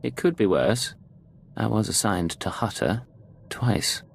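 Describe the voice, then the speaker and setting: An actor playing a cool, cynical secret agent in a video game, speaking in a controlled, laconic deadpan. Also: male voice, on the low side, unhurried, gravelly, a little hiss or hum. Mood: cool, sardonic.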